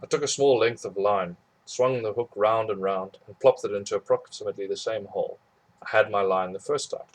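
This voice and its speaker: A man reading a memoir aloud in a plain, steady storytelling manner. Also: on the low side, a little fast, poor audio. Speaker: audiobook reader.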